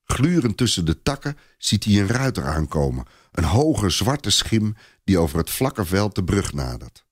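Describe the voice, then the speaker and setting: A man narrating a scripted story in a dramatised, expressive and deliberate storytelling style. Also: low voice, husky, dry recording, studio quality.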